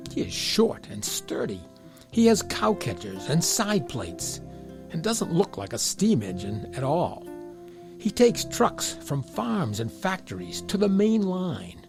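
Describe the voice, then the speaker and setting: A man's voice: fairly high pitch, gravelly. A man narrating a children's storybook tale as part of an audio drama.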